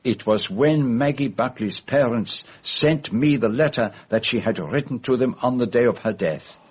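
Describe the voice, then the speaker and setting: An actor playing a fussy, shrewd foreign gentleman detective, speaking in precise, formal phrases in a radio drama. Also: medium pitch, moderate pace, husky, close to an everyday voice. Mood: measured explanation. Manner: precise, theatrical, formal phrasing with French forms of address.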